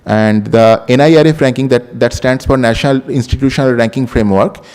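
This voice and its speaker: A man giving a formal welcome as workshop host, halting and full of fillers. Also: mid-range voice, a little mellow, smooth. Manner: halting, many fillers and restarts. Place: large lecture hall. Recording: reverberant hall sound.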